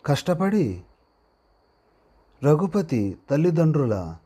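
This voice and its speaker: Male storyteller for read-aloud moral tales: husky, mellow, unhurried, phrase-by-phrase delivery with pauses. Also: on the high side, big pitch swings. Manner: deliberate, pausing after short phrases. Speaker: narrator of a handwriting and moral story channel.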